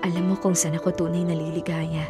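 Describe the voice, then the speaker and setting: Actress as an emotional young Filipino wife. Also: female voice, fairly low pitch, flat delivery, quick pace, loud music behind the voice, close to an everyday voice. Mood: earnest, resolved. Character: earnest, remorseful young wife and mother. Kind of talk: Filipino radio drama acting.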